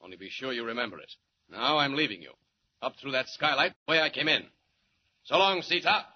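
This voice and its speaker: A confident, menacing superhero played by a man in 1940s radio style. He speaks with hard authority at a middle pitch. The old recording is muffled and lightly hissy. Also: expressive, a little fast, natural voice. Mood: stern, threatening.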